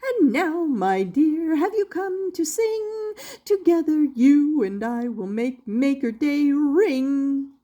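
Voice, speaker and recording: A woman's voice: expressive, takes it slowly, mellow. A woman voicing an eccentric male musician-inventor in a children's storybook, exuberant and speaking in sing-song rhymes. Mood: cheerful, eager. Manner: booming, sing-song rhyming delivery.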